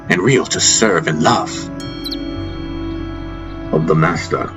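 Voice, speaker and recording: A man narrating a fantasy audiobook in a solemn, reverent, deliberate dramatic style, as a first-person storyteller. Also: deep voice. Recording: narration over a music soundtrack. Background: prominent music.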